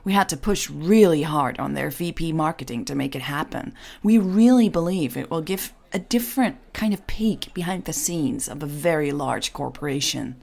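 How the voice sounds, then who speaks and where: An enthusiastic male tech publicist in an audio romance story, acted out by a woman narrator. The voice is husky with a creaky edge, pushing its pitch emphatically and persuasively. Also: lively intonation, moderate pace, few pauses, darkish tone, full character voice. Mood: eager, persuasive.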